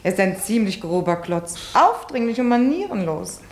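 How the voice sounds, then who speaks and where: An actress playing a flirtatious, mocking unfaithful wife in a German radio play, speaking in a playful, teasing way. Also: female voice, medium pitch, very animated, moderate pace, roomy sound, a little hiss or hum. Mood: giggly, scheming.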